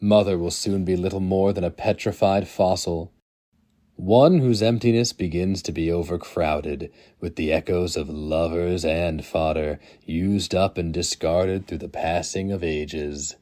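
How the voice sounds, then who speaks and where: A man reads a dark story aloud in a very low voice. His delivery is somber and deliberate, shaped like a dramatic audiobook performance. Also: steady tone. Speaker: narrator.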